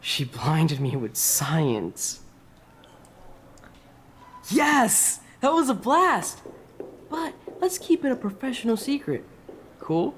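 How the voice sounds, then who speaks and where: An actor playing an eager, goofy student science nerd in a video game. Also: male voice, high voice, huge pitch swings, takes it slowly, pauses often, a bit bright, a little hiss or hum, exaggerated voice. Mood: excited, goofy. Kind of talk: playful video game acting.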